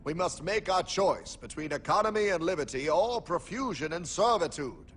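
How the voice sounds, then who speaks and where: A man's voice: fairly high pitch, lively intonation, normal speed, breathy edge, long reverb tail. A man voicing a solemn, resolute founding statesman in a cartoon, declaiming in stately formal oratory. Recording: loud music behind the voice.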